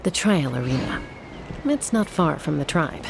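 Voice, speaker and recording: A woman's voice: sits low, very animated, measured pace, gravelly, background noise, full character voice. A woman voicing a cool, confident young female gunslinger in a video game. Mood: cool, businesslike. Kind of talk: video game character dialogue.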